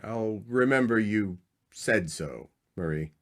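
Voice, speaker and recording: Man playing a lively-voiced loyal friend. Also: on the low side, slow, over loud music. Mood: uneasy. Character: loyal, reassuring male friend, a troubled husband. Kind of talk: old-time radio drama acting.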